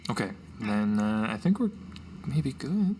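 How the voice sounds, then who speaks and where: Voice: medium pitch, very animated, unhurried, mellow. A player in a tabletop roleplaying game, improvising in-character talk in a casual way. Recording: noticeable background noise.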